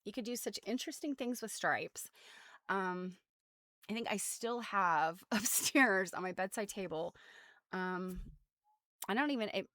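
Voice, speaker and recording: A woman's voice: medium pitch, expressive, unhurried, fairly bright tone, no room sound, studio quality. An American woman hosting a casual craft livestream, explaining things in an informal, rambling way full of trailing asides. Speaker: host of a craft show. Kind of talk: casual livestream chat about quilts.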